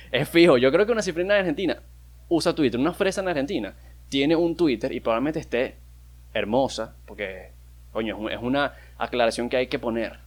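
A young man with a Venezuelan accent, hosting a casual solo podcast, rambling and joking as he talks, with loose swearing and many restarts. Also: medium pitch. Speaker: podcast host.

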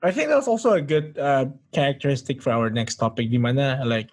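A man's voice: medium pitch, animated, fairly quick. A man, co-hosting a casual chat and asking probing questions.

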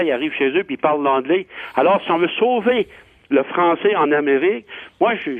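A man's voice: on the high side, no room sound. Passionate older Acadian man on a tinny phone line. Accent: Acadian French. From Acadia, New Brunswick. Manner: passionate, emphatic, repeats himself. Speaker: politician guest. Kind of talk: radio phone-in interview.

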